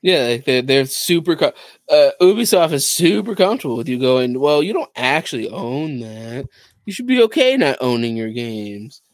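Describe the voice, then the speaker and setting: Mid-pitched man in dry, casual chat. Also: big pitch swings, normal speed, no echo.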